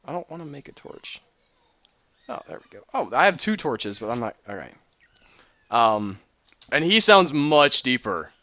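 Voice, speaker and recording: Excitable thirtysomething man streaming a build game, bright lively voice, dry dull lo-fi mic. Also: mid-range voice, a little slow, long pauses, no room sound. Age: 30s. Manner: excitable, rambling. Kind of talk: casual commentary while gaming.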